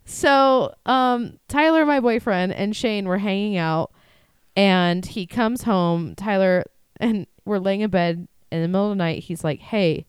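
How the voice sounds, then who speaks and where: A nasal woman in her twenties with a voice low for a woman, co-hosting a podcast with sarcastic, laughing banter. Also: a little slow, clean tone, dry recording, clean studio sound. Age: 20s. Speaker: podcast co-host. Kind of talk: crude casual chat.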